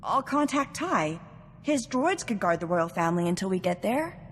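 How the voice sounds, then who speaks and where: An actress playing an earnest, dutiful young companion in a video game. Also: female voice, mid-range voice, sing-song, moderate pace, close to an everyday voice. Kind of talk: video game cutscene acting. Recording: long reverb, faint noise.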